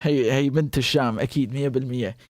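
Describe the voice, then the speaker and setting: A man's voice: mid-range voice, fairly even intonation, fast talker, a little mellow, no echo, clean studio sound. A man from Syria with a Damascene accent hosting a casual reaction video, laughing often and mixing English with Arabic. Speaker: host reacting to clips.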